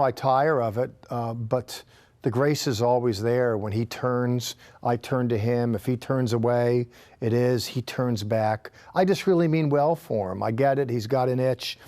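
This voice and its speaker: Man co-host, average pitch, candid and plainspoken. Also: a little mellow. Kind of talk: casual chat.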